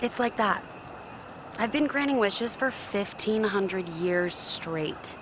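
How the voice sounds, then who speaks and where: A jaded genie bartender in a video game. She sounds guarded and sarcastic, with a blunt, casual delivery at a middle pitch, over clear background noise. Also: lively intonation, takes it slowly. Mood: guarded, wry. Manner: blunt, dry, sassy. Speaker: video game romance character. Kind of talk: scripted game dialogue, casual.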